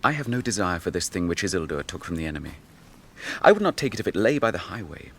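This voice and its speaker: An actor playing a grave, noble young captain grieving his brother, in measured, archaic speech for a fantasy radio drama. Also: sits low, sing-song, slightly rough, exaggerated voice. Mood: solemn, resolute.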